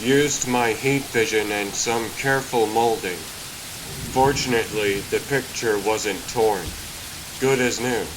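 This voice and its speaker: A man playing a calm, reassuring and kind superhero in a comic book dub, speaking in an earnest, measured way. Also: lowish voice, noisy background, natural voice. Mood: gentle, earnest.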